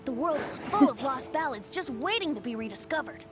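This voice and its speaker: High, boyish, playful bard. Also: female voice, animated, brisk. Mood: cheerful. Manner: theatrical, whimsical. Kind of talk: animated game voice acting. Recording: game audio with music behind.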